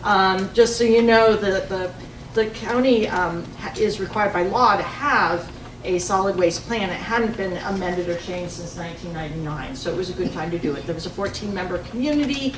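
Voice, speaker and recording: A woman who is a teacher giving an informative public comment in a loud teacher voice, with many ums. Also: medium pitch, normal speed. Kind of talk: public comment at a board meeting. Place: township hall meeting room. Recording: echoey room from a far mic, noisy.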